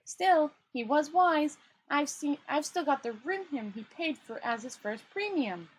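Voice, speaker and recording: A woman's voice: high-pitched, expressive, measured pace, pitched above the performer's own voice, rough recording. A woman reading a storybook and voicing a naive, well-meaning male tourist who is earnest, chatty and plaintive. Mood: earnest, cheerful.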